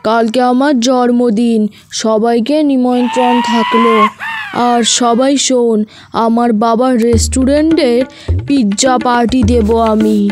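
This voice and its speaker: A woman voicing a snobbish, bossy young male bird in an animated cartoon. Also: a little high, measured pace, over music, natural voice. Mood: boastful. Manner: haughty, scornful, bossy. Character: snobbish rich young male schoolbird, a bully.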